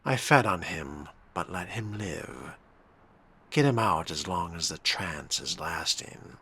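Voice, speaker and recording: Man playing a deep, gravelly, suave vampire. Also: expressive, slow pace, pushed and bright, a little hiss or hum, full character voice. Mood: silky, pleased. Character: suave, menacing male vampire fledgling, sardonic and predatory. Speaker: player voicing the game's main character. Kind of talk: in-character video game roleplay.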